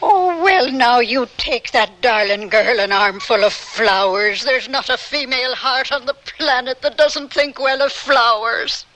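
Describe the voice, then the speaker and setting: An actress playing a kindly, sentimental, motherly frontier landlady in a radio drama, gushing gently as she speaks. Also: fairly high pitch, measured pace, hardly any pauses, poor audio. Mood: tender, sentimental.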